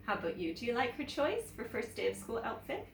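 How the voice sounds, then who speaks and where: A woman teacher reading a children's story aloud in an expressive, animated way, talking directly to young viewers. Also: a little high, fairly quick, creaky. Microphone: camera mic at some distance. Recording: roomy, distant mic with background noise.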